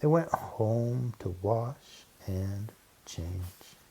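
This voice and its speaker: A man reading a children's storybook aloud in an expressive narrating style. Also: fairly low pitch, drawn-out words, creaky, a little hiss or hum. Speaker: storybook reader.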